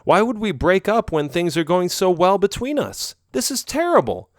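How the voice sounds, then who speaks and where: A man's voice: on the high side, very animated, normal speed, dry recording, studio quality, voice pushed up. A man voicing an emotional, lovesick young heroine in a read-aloud romance story. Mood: panicked.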